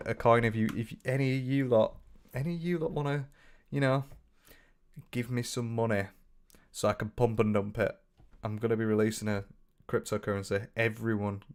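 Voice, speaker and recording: A young man with a northern English accent chatting casually on a livestream, reading out viewer comments with lots of restarts and the odd swear. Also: medium pitch. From north of England. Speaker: streamer.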